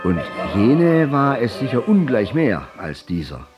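A man's voice: mid-range voice, sing-song, measured pace, music in the background. A man narrating a literary audio drama in a formal, elevated storytelling manner.

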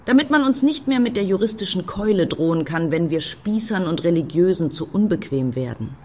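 An actress playing a breezy, sardonic club activist in a radio play, offhand and dryly mocking. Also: female voice, lowish voice, lively intonation, a little fast, dark tone, a little hiss or hum, lightly acted. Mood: declamatory. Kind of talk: German radio play acting.

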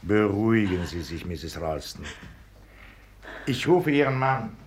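An actor playing a composed, reassuring police inspector in a 1950s radio play, measured and matter-of-fact. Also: male voice, on the low side, very animated, a little hiss or hum. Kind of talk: 1950s German-language radio play acting.

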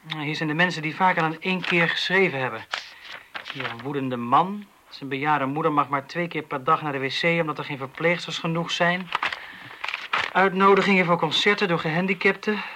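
An actor playing a wisecracking, grumbling police detective in a radio drama, with an ironic, bantering delivery. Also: male voice, on the high side, a little fast, lightly acted. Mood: weary grumbling. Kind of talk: Dutch radio drama acting. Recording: radio play with faint background noise.

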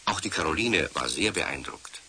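A man narrating a scripted children's story, animated and addressing his young listeners directly. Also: extremely low pitch, moderate pace, husky. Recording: slightly hissy old radio recording.

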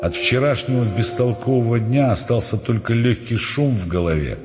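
A man narrating a literary audio play in an expressive, scripted storytelling style, recorded with reverb and music underneath. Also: medium pitch, moderate pace, highs cut off.

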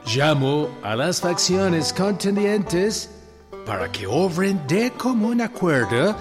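A man's voice: high-pitched, very animated, slow pace, exaggerated voice. An actor playing a solemn, pompous male American president making a declaration in a radio drama. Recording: long hall reverb, loud music behind.

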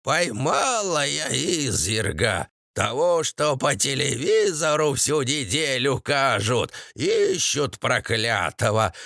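Vicious old granny, male reader acting her, gloating and scolding, drawn-out rustic delivery, pitch leaping about in an audiobook story. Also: pushed and bright, dry recording, clean studio sound, exaggerated voice. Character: vicious triumphant old crone mother-in-law, shrill and raging.

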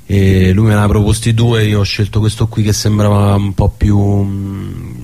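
A man talking as a guest in a radio interview, halting and often rephrasing himself as he explains. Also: on the low side, monotone, creaky, warm tone. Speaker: theatre director, guest. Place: radio studio.

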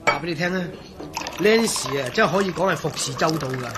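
A man acting as a genial, easygoing engineer in a radio drama, speaking in a chummy, expressive way with a Hong Kong Cantonese accent. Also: fairly high pitch, fast, heavy background noise, lightly acted. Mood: genial, chatty. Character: genial, easygoing male engineer and old friend.

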